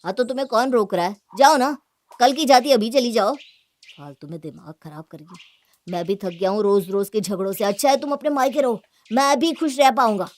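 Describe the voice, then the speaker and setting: A woman's voice: fairly high pitch, normal speed, darkish tone, natural voice. A woman acting an exasperated young husband. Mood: fed up. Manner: exasperated, curt. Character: irritated young husband, weary and scolding. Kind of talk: Hindi moral-story cartoon acting.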